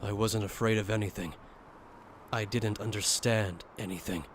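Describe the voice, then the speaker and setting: A man's voice: lowish voice, fairly even intonation, normal speed, lightly acted. A man playing an earnest, troubled soldier in an anime fan dub, speaking introspectively. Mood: regretful, reflective. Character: earnest, troubled male soldier reflecting on his justice. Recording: roomy long reverb, faint noise.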